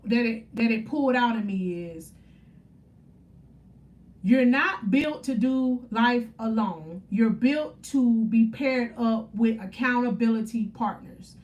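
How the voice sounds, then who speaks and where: A woman's voice: a little high, a little mellow, far from the mic. A woman, chatting on a faith-centered livestream, upbeat and preachy as she answers viewer comments. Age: 30s. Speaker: faith motivational livestream host. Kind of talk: casual live stream chat with viewers.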